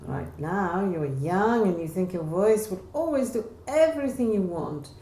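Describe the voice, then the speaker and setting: A woman's voice: medium pitch, lively intonation, moderate pace, mellow, voice pushed up, close to an everyday voice. A woman voicing a mature, caring Spanish singing teacher in a novel, advising earnestly and emphatically. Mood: concerned, earnest. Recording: roomy echo, faint background noise.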